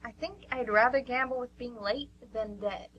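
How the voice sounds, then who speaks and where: A woman's voice: a little high, normal speed, breathy edge, dull audio. A woman playing a dry, teasing, pragmatic friend in an amateur radio drama. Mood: anxious, practical.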